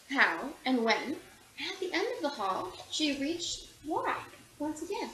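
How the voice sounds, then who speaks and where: Animated, high-voiced woman reading a storybook. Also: echoey room, rough recording. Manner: animated, theatrical, acting out characters' lines. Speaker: storybook reader. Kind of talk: expressive children's picture book read-aloud.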